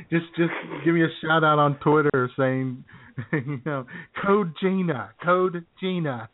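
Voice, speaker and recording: A man's voice: on the high side, slow pace. A man co-hosting a podcast, chatting casually, joking and sarcastic, laughing often. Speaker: podcast co-host. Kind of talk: casual chat. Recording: tinny phone-like sound.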